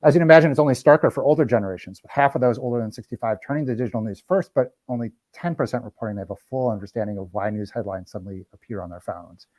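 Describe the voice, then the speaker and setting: A man moderating an online panel, reading prepared remarks in a measured, lightly formal way with occasional fillers. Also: mid-range voice, darkish tone, no room sound. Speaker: moderator of a webinar.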